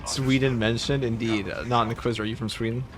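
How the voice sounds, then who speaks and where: A man's voice: mid-range voice, fairly even intonation, brisk. A man hosting a gaming livestream, reading viewer messages aloud and riffing on them casually. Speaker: streamer host. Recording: background noise under voice.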